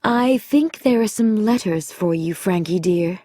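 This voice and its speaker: A fragile young widow with a soft, weary, slightly lifted voice, as a woman reader voices her. It is a dry, close storybook reading. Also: mid-range voice, expressive, a little slow, no echo.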